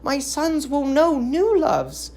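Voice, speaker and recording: An aged queen of Greek tragedy, as a woman acts her out, grieving and accusing. Her speech is slow and declamatory, with some creak, and she pleads with impassioned bitterness. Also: high-pitched, big pitch swings, dark tone, voice pushed up, heavily acted. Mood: urgent pleading.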